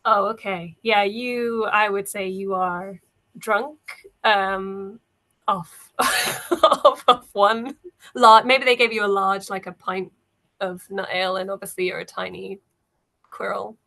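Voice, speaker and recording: A woman improvising narration as game master of a tabletop game, in a halting, conversational way. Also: medium pitch, fairly even intonation, pushed and bright.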